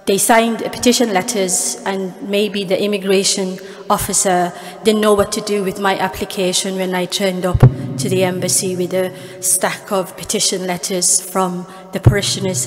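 A woman in her forties with a Filipino accent, Tagalog her first language, giving an earnest guest speech at an award ceremony, speaking into a podium microphone with small restarts along the way. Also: medium pitch. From Philippines. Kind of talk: prepared speech with personal stories. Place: large cathedral. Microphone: podium microphone, far camera. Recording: long cathedral reverb, faint music.

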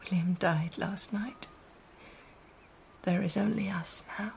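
An actress playing a grieving wife at a sickbed in a breathy whisper, in a radio drama. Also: female voice, medium pitch, steady tone, slow, darkish tone, slight background noise. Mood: grieving, hushed.

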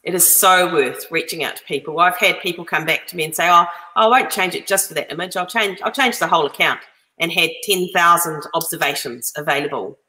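A woman's voice: on the low side, sharp tone. A middle-aged woman, explaining informally and emphatically on a video call. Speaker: co-host. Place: room indoors, on a video call. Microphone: video call microphone. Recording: echoey room over video call.

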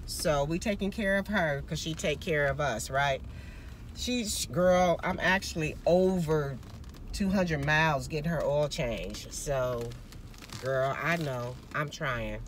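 A woman's voice: low-pitched, takes it slowly, slight background noise. A woman in her forties talking casually to viewers from a parked car, recorded on a phone held at arm's length. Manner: chatty, loose and conversational, often calls listeners "girl". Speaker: vlogger. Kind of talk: casual chat. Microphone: phone camera at arm's length.

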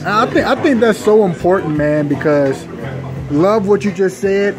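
A young man interviewing a barber, upbeat and quick to laugh. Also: high voice, expressive. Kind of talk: casual interview. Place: barbershop, indoors. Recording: music in background. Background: music playing.

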